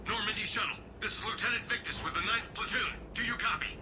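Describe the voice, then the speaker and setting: An actor playing an earnest, dutiful alien lieutenant in a video game, talking in clipped military style over radio with noise behind him. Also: male voice, sits high, fast, music in the background. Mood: earnest, tense. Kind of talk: video game cutscene acting. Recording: radio voice over loud vehicle and battle noise.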